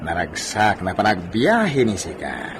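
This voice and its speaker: Deep, slow, grand gentleman. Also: huge pitch swings, full character voice. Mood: grandly cordial. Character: commanding Spanish-speaking gentleman, friend of the hacendado. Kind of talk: Ilocano radio drama acting. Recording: noisy radio drama recording.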